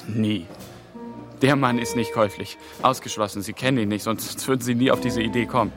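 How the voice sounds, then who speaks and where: Man acting young male trainee, middle pitch, sceptical, measured, careful, radio drama, clear music underneath. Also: fairly even intonation, close to an everyday voice. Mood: firm, defensive. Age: young adult. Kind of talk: German radio drama dialogue. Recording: music behind the dialogue.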